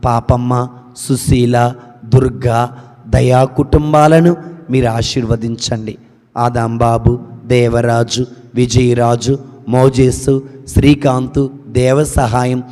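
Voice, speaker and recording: A man leading an intercessory prayer, reciting long rhythmic lists of petitions that drop low at the end of each request. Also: a little mellow, long reverb tail. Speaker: prayer leader.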